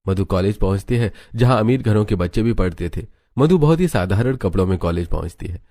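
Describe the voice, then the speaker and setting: A man's voice: lowish voice, lively intonation, fairly quick, dark tone. A man narrating a scripted story as its narrator.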